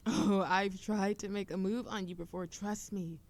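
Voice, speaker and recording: A woman's voice: medium pitch, steady tone, normal speed, natural voice. A woman playing a playful young witch smitten with a childhood friend, teasing and affectionate in an intimate romantic audio roleplay. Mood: earnest, tender. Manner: teasing, affectionate, breathy laughs and whispers.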